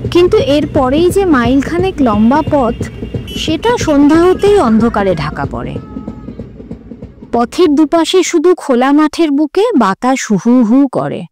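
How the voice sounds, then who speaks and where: Female story reader, high voice, highly animated dramatic narration over clear background music. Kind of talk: scripted literary audiobook narration.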